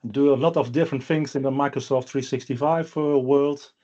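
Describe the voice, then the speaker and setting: A man from the Netherlands hosting an online panel, putting steady, plain questions to his guests. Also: mid-range voice, brisk, muffled recording. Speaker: host and consultant.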